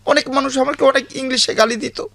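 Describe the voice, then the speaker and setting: A man's voice: very high voice, steady tone, brisk, gravelly, bright, forceful tone, dry recording. A man in his late fifties with a Bangladeshi accent, telling his life story as an interview guest in plain, colloquial, repetitive speech.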